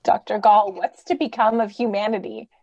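A woman's voice: medium pitch, fairly even intonation, normal speed, vocal fry, darkish tone, highs cut off. A woman playing an idealistic, anxious lady in a radio drama, speaking with earnest, theatrical pleading. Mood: anguished. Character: idealistic, anxious, gentle lady who loves humanity. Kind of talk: old-time radio drama acting. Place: remote video call.

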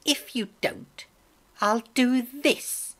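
A woman voicing a cruel blind old beggar man in a story, cold and soft-spoken, with a sly, drawn-out sing-song and a sneer. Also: a little high, pitched above the performer's own voice. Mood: coldly commanding.

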